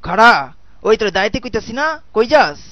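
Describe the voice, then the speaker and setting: A man with a Bangladeshi accent voicing a threatening, slow-witted cartoon guard dog that barks out challenges. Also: high voice, sing-song, bright, forceful tone, no room sound, lo-fi sound, full character voice. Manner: barked challenges, bullying. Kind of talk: colloquial comic cartoon dub.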